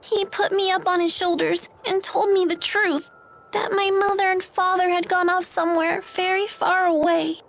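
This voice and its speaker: A woman voicing a shy, gentle little orphan girl in an anime game, with a hesitant manner. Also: extremely high pitch, level tone, normal speed. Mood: sorrowful. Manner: soft-hearted, hesitant. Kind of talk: anime game dub acting. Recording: music behind.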